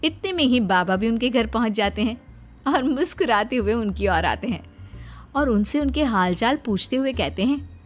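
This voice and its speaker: A woman giving scripted storytelling narration for an animated moral story. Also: a little high, moderate pace, soft background music.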